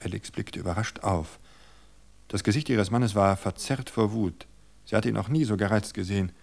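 Male audiobook narrator, low voice, measured suspense delivery. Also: gravelly, darkish tone. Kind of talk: scripted narration of a novel.

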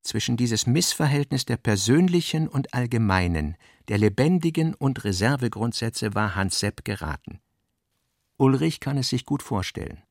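A man giving a scripted literary narration, reading out notes and drafts in deliberate fragments with pauses. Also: mid-range voice, lively intonation, creaky, dry recording, clean studio sound. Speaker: radio drama narrator.

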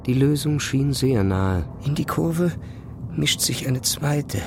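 An actor playing an obsessive, haunted young physicist, narrating his own story in an introspective, halting, intense way. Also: medium pitch, expressive, noisy background. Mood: absorbed, brooding. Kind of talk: first-person narration in a literary audio drama.

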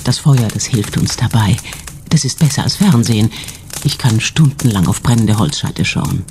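A woman's voice: a bass voice, animated, normal speed, a little mellow, slight background noise. An actress playing a sentimental, esoteric grieving woman in a radio play, solemn and musing. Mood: soulful. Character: soulful, esoteric grieving best friend, earnestly sentimental.

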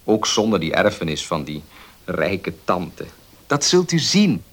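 An actor playing a sober young student who speaks in an earnest, reassuring way in a Dutch radio play. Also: male voice, sits low, big pitch swings, measured pace, faint music behind. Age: young adult.

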